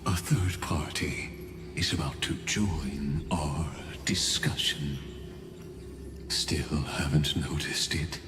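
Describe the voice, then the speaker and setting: An actor playing a grave, aloof intellectual in a video game, speaking in slow, deliberate, halting phrases. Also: male voice, extremely low pitch, steady tone. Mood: grave, musing. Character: grave, aloof male genius with ponderous, measured temper. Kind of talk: dramatic video game dialogue. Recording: echoing voice under music.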